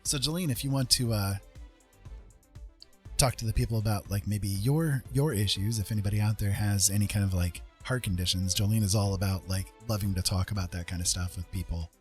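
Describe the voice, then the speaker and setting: A man with a husky voice hosting a casual livestream, talking in a rambling, joking way. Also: on the low side, a little fast, soft background music. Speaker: streamer host.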